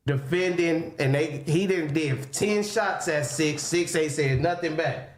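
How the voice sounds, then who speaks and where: Man in his late thirties, slightly high voice, loose rambling stream talk, roomy sound with faint hiss. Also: unhurried. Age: late 30s. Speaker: livestream participant. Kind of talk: casual stream chat. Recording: echoey stream audio with faint noise.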